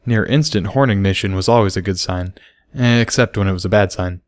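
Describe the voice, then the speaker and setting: A man's voice: low-pitched, a little fast, no room sound, clean studio sound. A man reading scripted story narration as an audiobook reader.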